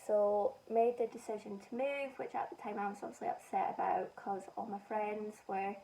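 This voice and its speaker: A young woman from Scotland with a Scottish accent softened by a northern English lilt, chatting casually and self-mockingly while doing her makeup. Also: mid-range voice, fairly even intonation, normal speed, creaky, distant mic, slight background noise, poor audio. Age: young adult. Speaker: beauty vlogger.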